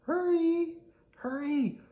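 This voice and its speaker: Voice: extremely high pitch, slow pace, dark tone, voice pushed up. An adult reader voicing a tearful, pleading young child in a picture-book read-aloud. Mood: wailing, urgent.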